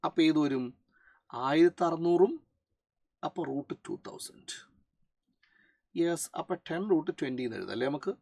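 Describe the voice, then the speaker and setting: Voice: mid-range voice, animated, moderate pace, vocal fry, pauses often, darkish tone, husky. A physics teacher with a Malayali accent works through a problem in a mid-range voice. The delivery is explanatory, switching into English for technical terms and often checking with short questions. The sound is dry, with very little room echo.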